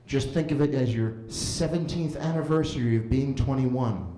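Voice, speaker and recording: A man in his late thirties doing a stand-up set at an open mic in a basement, telling stories animatedly and jumping into high voices for quoted lines. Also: normal speed, dark tone, a little hiss or hum. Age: 30s. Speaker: stand-up comedian. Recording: roomy basement echo.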